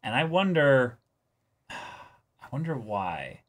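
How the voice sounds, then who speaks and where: A man co-hosting a reaction show, chatting casually and in a rambling, animated way with some casual swearing. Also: mid-range voice, very slow. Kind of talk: casual anime discussion chat.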